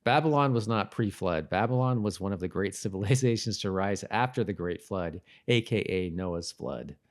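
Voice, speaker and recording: Guy with a kinda low voice on a podcast, casual, bit halting and unsure. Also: lively intonation. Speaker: podcast co-host. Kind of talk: casual chat about TV show trivia.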